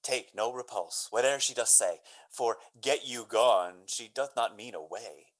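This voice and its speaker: A man playing an earnest, courteous young gentleman lover in a Shakespearean radio drama, speaking florid verse. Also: medium pitch, measured pace, lightly acted. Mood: confident, advising. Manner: hesitant openings, then florid Shakespearean verse.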